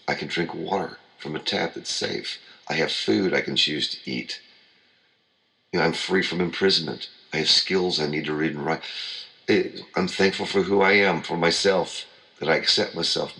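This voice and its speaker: Very deep gravelly man giving a pep talk. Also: bright, forceful tone, rough recording. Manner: earnest, repetitive, heartfelt. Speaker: motivational show host. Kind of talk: motivational talk.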